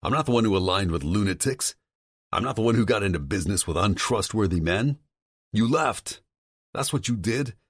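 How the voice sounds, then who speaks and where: A man's voice: fairly low pitch, animated, normal speed, husky, no room sound, studio quality, pitched above the performer's own voice. A man voicing an agitated brother who warns urgently over the phone. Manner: urgent, swearing, insistent.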